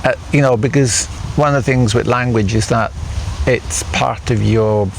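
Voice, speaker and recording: A man's voice: mid-range voice, steady tone, moderate pace, creaky, slight background noise. A man whose first language is Italian, interviewing a guest in a casual, thoughtful way with many hesitant restarts. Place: outdoors in a garden.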